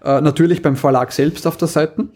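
A man's voice: fairly high pitch, fairly even intonation, a little fast, darkish tone. A man co-hosting a podcast in a casual, conversational style. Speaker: podcast co-host. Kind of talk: casual chat.

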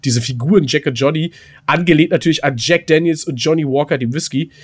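Rambling man, slightly high voice. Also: fast, husky. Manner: rambling, self-deprecating, coarse slang. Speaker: podcast co-host. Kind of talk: casual chat, recounting his weekend.

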